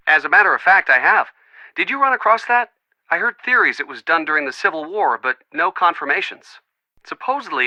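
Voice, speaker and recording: A man's voice: on the high side, pushed and bright, no echo, poor audio. An actor playing a friendly, earnest young man in a video game, chatty and reassuring as if on a call. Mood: chatty explaining. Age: young adult. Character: friendly young man, earnest, bookish, supportive boyfriend type. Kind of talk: video game voice acting, phone conversation.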